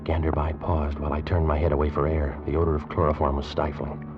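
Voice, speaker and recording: A man narrating a tense story in the first person in 1940s radio drama style, slow and suspenseful. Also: very deep voice, completely flat delivery. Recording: dull old radio recording with music.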